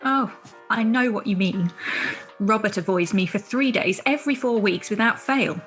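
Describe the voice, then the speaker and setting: A woman's voice: mid-range voice, normal speed, natural voice. A woman playing a genteel, effusive, sympathetic young children's mother in a radio play, recorded over a video call. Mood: chatty. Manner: polite, effusive. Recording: amateur video-call recording with music behind.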